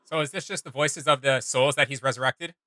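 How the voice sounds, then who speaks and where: A man's voice: medium pitch, steady tone, brisk, noisy background. A man giving casual, joking reaction commentary as a video host, in an informal way.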